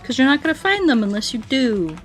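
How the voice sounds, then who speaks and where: A woman's voice: sits high, sing-song, brisk, faint music behind. A woman livestreamer in casual, playful, rambling chat, answering her viewers aloud as she plays a game.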